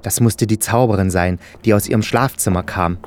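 A man's voice: fairly low pitch, normal speed. A man narrating a scripted children's fairy tale as a storyteller.

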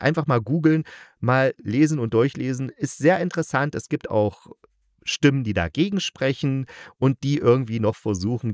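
A man's voice: medium pitch, no echo, studio quality. A man hosting a casual review, explaining things to listeners in a chatty, colloquial way. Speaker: podcast host and reviewer.

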